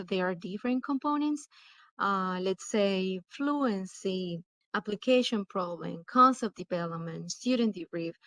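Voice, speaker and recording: Female educator for webinar talk, explains step by step, pauses mid-phrase, mid-pitched, dry sound. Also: a bit bright, no echo. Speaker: dual language program educator presenting. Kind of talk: webinar presentation.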